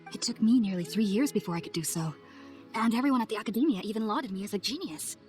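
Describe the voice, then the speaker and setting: A woman playing an earnest, polite young scholar in a video game, speaking in a formal, measured, articulate way. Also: mid-range voice, expressive, a little mellow, faint music behind, natural voice. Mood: earnest, explaining. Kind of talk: video game cutscene acting.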